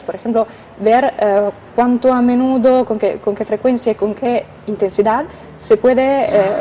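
Young Italian-accented woman, mellow voice. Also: on the high side, slight background noise. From Italy. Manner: careful, halting, many filler sounds. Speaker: physician and interview guest. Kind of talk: radio interview.

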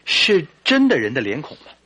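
Thoughtful doctor sidekick, radio drama, courteous, bright, lively intonation. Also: male voice, fairly high pitch, moderate pace, husky, exaggerated voice. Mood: inquisitive. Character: thoughtful, courteous male doctor, detective's companion.

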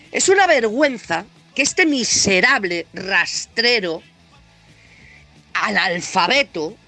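A woman's voice: a little high, pushed and bright. A woman with a Castilian Spanish accent and a heavy cold delivers a scathing political opinion monologue as a presenter, blunt, sarcastic, emphatic and indignant. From Spain.

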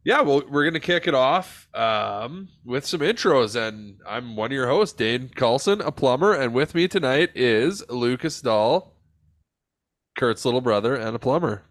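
A man's voice: medium pitch, animated, unhurried, no room sound. A man with a stuffed-up, congested voice runs a casual podcast chat. He talks in a loose, laid-back way, dropping fillers and the odd swear. The sound is dry with no room around it. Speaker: podcast host.

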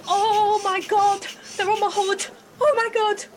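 A young woman playing an excitable, anxious nineteen-year-old trainee beekeeper in an audio drama. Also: very high voice, unhurried, a little hiss or hum. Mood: panicked. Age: young adult. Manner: breathless, excitable, cheeky.

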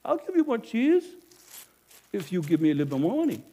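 A man playing a businesslike village innkeeper in an animated first-person monologue, emphatic and speaking straight to the audience. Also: high-pitched, brisk, full character voice. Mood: haggling. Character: businesslike male village innkeeper, proud then guilty.

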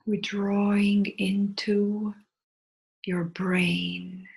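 A woman leading a guided meditation in a soft, soothing, breathy voice that often drops toward a whisper, with long pauses between short phrases. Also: medium pitch, flat delivery.

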